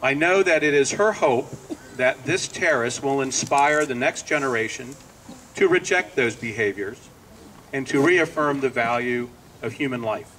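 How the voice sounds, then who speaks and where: A man delivering a measured, formal prepared speech at an outdoor dedication ceremony. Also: medium pitch, a bit bright. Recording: distant mic, faint outdoor noise.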